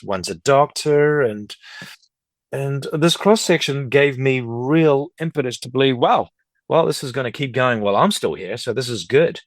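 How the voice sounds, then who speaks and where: A middle-aged man in a relaxed interview conversation, with a medium-pitched voice in a close, dry recording. He rambles in a talkative way, telling stories and quoting people as he goes. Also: no echo. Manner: talkative, rambling, anecdotal, quotes others' speech. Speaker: podcast guest, record collector and dealer. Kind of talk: casual interview chat.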